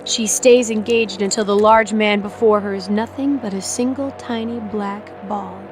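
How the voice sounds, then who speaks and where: A woman's voice: mid-range voice, steady tone, moderate pace, music in the background. A woman reading scripted dramatic narration as the narrator of an audio drama.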